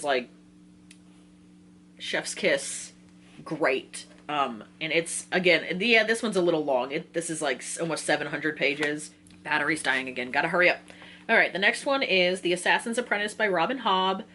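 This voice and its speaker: A woman's voice: medium pitch, sharp tone. Young woman chatting casually over background noise. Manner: giggly, informal, self-interrupting. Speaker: book vlogger. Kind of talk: casual chatty book list talk to viewers.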